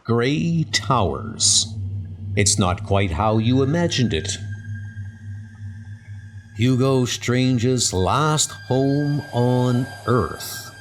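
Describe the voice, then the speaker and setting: A man playing a skeptical, self-doubting paranormal investigator, brooding and deliberate, in a dramatic comic book inner monologue. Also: medium pitch, animated, a little hiss or hum. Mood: wary.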